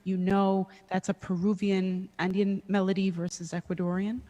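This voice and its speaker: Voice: medium pitch, little pitch movement, normal speed, mellow. An interviewer asking long, thoughtful questions in a polite, searching way. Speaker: interviewer presenting a workshop. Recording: long reverb.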